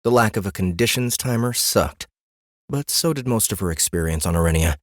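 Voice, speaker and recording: A man narrating a scripted fantasy audiobook in an expressive, deliberate storytelling manner. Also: lowish voice, no room sound, studio quality. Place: dry studio.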